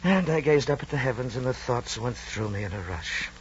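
An actor playing a decadent, moody young Roman emperor in florid, theatrical old radio drama style. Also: male voice, medium pitch, lively intonation, brisk, a little hiss or hum, close to an everyday voice. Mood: weary, self-pitying. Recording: dull old radio recording, music behind.

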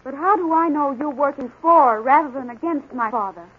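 Actress as high-voiced plucky radio heroine. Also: female voice, normal speed, natural voice. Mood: suspicious, commanding. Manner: melodramatic, earnest. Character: police commissioner's young daughter, plucky and earnest. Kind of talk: 1940s radio serial acting. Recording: muffled, hissy old radio recording.

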